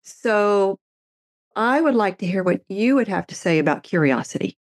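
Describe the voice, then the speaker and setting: A woman's voice: medium pitch, animated, normal speed, dry recording. Casting: middle-aged woman host, chatty storyteller, dry close recording. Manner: chatty storyteller, laughs easily. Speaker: podcast host, therapist and author. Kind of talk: casual conversation.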